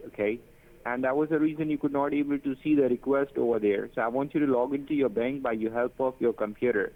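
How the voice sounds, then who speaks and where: A man running a scam call, insistent and repetitive, with broken phrasing. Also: medium pitch. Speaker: phone scammer. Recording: tinny phone line.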